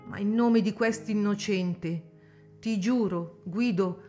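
Woman voicing a gentle wounded young mother, dignified, pleading, radio drama. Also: mid-range voice, slow, soft background music, lightly acted.

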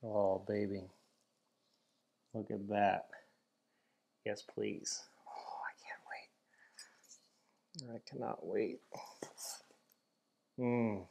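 A man in his thirties hosting a home grilling vlog, upbeat and hyped, who breaks into loud whoops. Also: lowish voice, fairly even intonation, drawn-out words, vocal fry, pauses often. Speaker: grilling channel host. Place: home kitchen and backyard.

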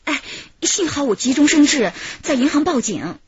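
A woman's voice: high-pitched, sing-song, normal speed. An actress playing a female kidnap victim giving her account in a radio drama. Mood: recounting ordeal. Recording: dull lo-fi radio drama recording.

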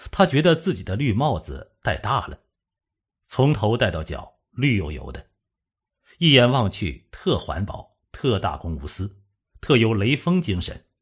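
A man narrating a suspense novel as an audiobook, in a measured, dramatic storytelling manner. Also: fairly low pitch, very animated, husky.

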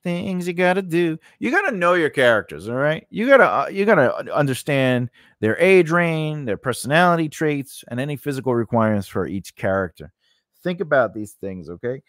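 Man, lively varied pitch, dry close sound, informal instructor, conversational, repeats himself. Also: moderate pace, no echo. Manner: conversational, repeats phrases and checks in with "okay?" and "all right?". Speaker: instructor and host of a writing lesson. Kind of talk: informal screen-share tutorial, unscripted.

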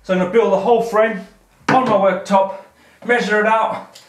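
A man's voice: sits high, steady tone, moderate pace. A man in his thirties from the UK, presenting a casual workshop vlog, chatty and self-mocking as he thinks aloud while building, recorded on a head-mounted action camera in a garage. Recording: roomy garage echo with music behind.

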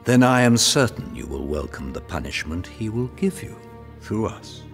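An actor playing a contemptuous, menacing medieval nobleman in an audio drama, sneering and deliberate. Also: on the low side, very animated, vocal fry, faint music behind. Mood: sneering contempt.

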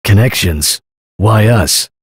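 An actor playing a grim, determined covert operative in a video game, speaking tersely. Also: male voice, on the low side, takes it slowly, husky, no echo, studio quality. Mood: suspicious. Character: gruff, determined male covert special-forces operative. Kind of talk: video game cutscene acting.

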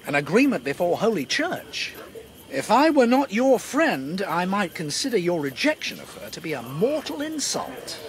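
A pompous, silkily scheming monarch, pitched high and swinging extravagantly up and down. His false graciousness suits a historical radio drama. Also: very animated, normal speed. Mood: silky, needling. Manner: courtly, insinuating, pompous. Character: smooth, scheming rival king, falsely gracious.

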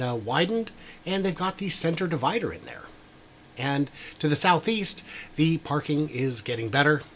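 A man's voice: medium pitch, fairly bright tone, slight background noise. A man in his forties giving casual, wry commentary over drone footage as a YouTube host, with frequent filler words. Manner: chatty, wry asides, many "uh" fillers. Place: small room with a brick wall.

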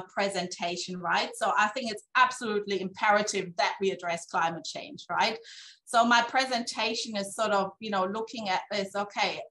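A woman's voice: a little high, fairly bright tone, poor audio. A woman in her thirties giving a keynote talk over a video call from her office. She talks casually and ends many sentences with "right?". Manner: conversational, tags sentences with "right?". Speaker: keynote speaker. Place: office with a poster on the wall. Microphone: laptop webcam on a video call.

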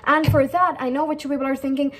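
Young woman giving tips to camera, pretty high voice, chatty, says things twice. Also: fairly even intonation, fast. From India. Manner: chatty, repeats points, asks the viewer questions. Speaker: English tutor. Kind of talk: casual teaching talk to camera. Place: small indoor room.

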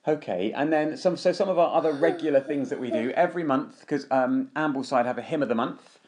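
British man prompting gently with questions. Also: mid-range voice, brisk. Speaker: podcast host, father interviewing his children. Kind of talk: casual family podcast chat.

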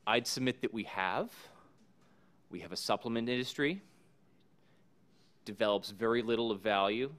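Male panelist, forties, lowish voice, measured and thoughtful, podium mic in a meeting room. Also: a bit bright. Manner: measured, thoughtful, with frequent ums. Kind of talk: panel discussion remarks. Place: conference room. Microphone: podium microphone.

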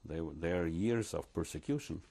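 An older man speaks in a deep, slightly husky voice. He recounts memories in a measured, reflective way, pausing and restarting often. He is recorded on a lapel mic in a living room. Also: warm tone. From Poland. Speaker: interviewee. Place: living room, seated before curtains.

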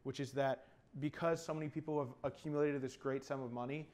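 A man's voice: medium pitch, fairly even intonation, a little fast, a little mellow. A man in his twenties giving opinions as co-host of a casual discussion about video games. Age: 20s. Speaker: talk show co-host. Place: small TV studio, seated.

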